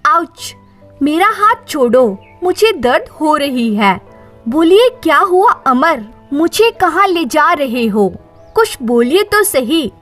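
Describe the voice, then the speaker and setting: Wronged young wife played by a female narrator: bold, cutting, slow and emphatic, high voice. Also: very animated, a bit bright, soft background music, heavily acted. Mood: pained, pleading.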